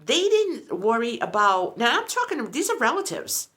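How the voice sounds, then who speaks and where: Woman in her sixties for a vlog, chatty and rambling, bright animated delivery. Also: a little high, moderate pace. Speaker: lifestyle vlogger. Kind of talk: casual chat.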